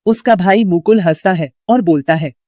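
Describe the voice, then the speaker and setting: A woman's voice: on the low side, moderate pace, warm tone, dry recording, clean studio sound. A woman reading a scripted story as its narrator.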